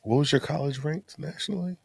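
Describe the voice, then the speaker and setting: A man's voice: a little high, fairly quick, creaky, breathy edge. A man in his twenties co-hosting a podcast, bragging jokingly in casual chat with his wife. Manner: cocky, joking boasts. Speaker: podcast co-host.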